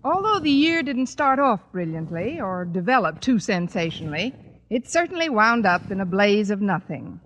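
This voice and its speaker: An actress playing a sardonic schoolteacher who makes dry wisecracks, in the style of 1940s radio comedy. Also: female voice, mid-range voice, normal speed, in a large hall. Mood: wry, sardonic. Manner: dry, sarcastic, deadpan wisecracks. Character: wry, sardonic unmarried woman English teacher. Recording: very noisy old radio recording.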